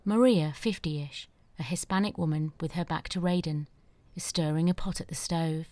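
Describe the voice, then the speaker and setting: Low-pitched woman narrating a screenplay. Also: moderate pace, a little mellow, slight background noise. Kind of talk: scripted narration of stage directions.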